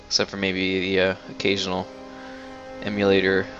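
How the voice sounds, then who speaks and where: A man's voice: low-pitched, completely flat delivery, normal speed. A young adult man giving casual, off-the-cuff let's play commentary as a gaming video host, over game audio. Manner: informal, off-the-cuff. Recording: muffled mic over game music.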